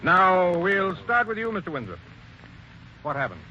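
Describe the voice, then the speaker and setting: An actor playing a brisk, businesslike police sergeant in a 1940s radio mystery, questioning in a commanding way. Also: male voice, high voice, wildly swooping pitch. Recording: dull old radio recording with faint hiss.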